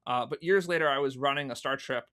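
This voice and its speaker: A man's voice: medium pitch, quick pace, fairly bright tone. A man in his thirties speaking as an interview guest, telling stories casually and acting out remembered thoughts in asides. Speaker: podcast guest, audio drama producer.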